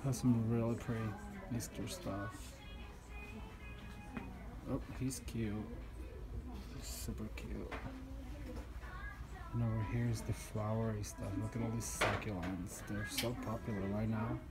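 A man's voice: lowish voice, flat delivery, unhurried, long pauses, loud music behind the voice, low-quality recording. Gushing man vlogging with his phone. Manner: chatty, gushing, enthusiastic. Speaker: home decor vlogger. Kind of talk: casual shopping vlog. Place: big stores and a parking lot. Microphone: phone held at arm's length.

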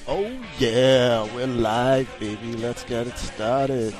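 A man's voice: medium pitch, steady tone, takes it slowly, over music. Crude, mocking male game streamer. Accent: mixed British, Caribbean and American. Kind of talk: casual game commentary, reading the game text aloud.

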